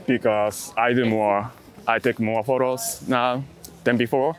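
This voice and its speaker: Halting man, reflective and casual. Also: medium pitch, steady tone. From outside the US. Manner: halting, hesitant phrasing in short fragments; non-native English phrasing. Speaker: street photographer being interviewed.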